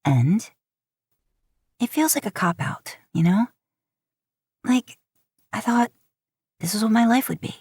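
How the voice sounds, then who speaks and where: A woman's voice: on the high side, animated, takes it slowly, pauses often, a little mellow, breathy edge, dry recording, clean studio sound, pitched above the performer's own voice, full character voice. A woman voicing a guarded young woman in a story, fierce but sensitive. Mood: doubtful.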